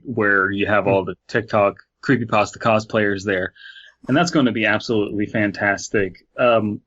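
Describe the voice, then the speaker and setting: A man co-hosting a podcast, speaking in a deadpan, mock-serious way. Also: fairly low pitch, lo-fi sound. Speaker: podcast co-host. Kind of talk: casual comedic banter.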